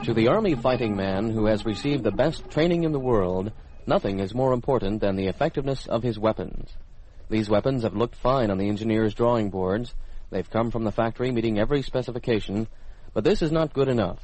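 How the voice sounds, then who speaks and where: A man's voice: fairly low pitch, a little fast, darkish tone, poor audio. Formal American radio announcer man. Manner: formal, polished announcer delivery. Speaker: radio program host and announcer. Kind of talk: mid-century military radio announcing, scripted narration.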